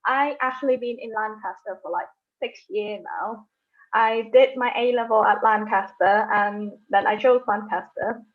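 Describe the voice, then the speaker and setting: A woman's voice: mid-range voice, moderate pace. A young woman student giving a friendly, chatty talk to prospective students. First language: not Thai. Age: young adult. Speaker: current student speaker. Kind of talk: informal student presentation. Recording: tinny phone-like call audio.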